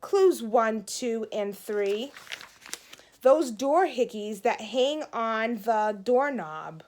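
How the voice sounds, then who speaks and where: A woman's voice: fairly high pitch, animated, very slow, a little mellow. A woman voicing a moody, bossy young big sister in a children's storybook, with an emphatic, snappy delivery. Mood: grumpy, sarcastic. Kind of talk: children's storybook read-aloud.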